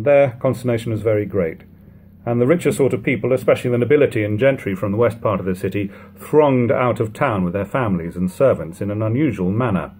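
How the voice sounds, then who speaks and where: A man in his thirties reading a classic novel aloud at home, in a measured, steady way, letting sentence ends fall quiet. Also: lowish voice, slight background noise. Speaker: audiobook reader. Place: living room at home.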